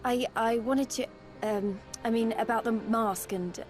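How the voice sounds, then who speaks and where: Actress as an earnest, high-voiced young rebel woman. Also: steady tone, a little slow, soft background music, close to an everyday voice. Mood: hesitant. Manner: earnest, heartfelt. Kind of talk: video game cutscene acting.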